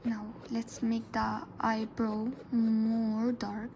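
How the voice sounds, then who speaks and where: Arabic-native lady whispering through a drawing lesson, slow, a bit gravelly, noisy room. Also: on the high side, monotone. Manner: soft, often whispered narration. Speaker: art tutor. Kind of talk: drawing tutorial voice-over.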